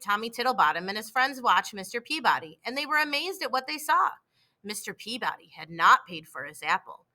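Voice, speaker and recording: A woman reads a children's story aloud as narrator, in an expressive, deliberate storytelling manner. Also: medium pitch. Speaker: storybook reader.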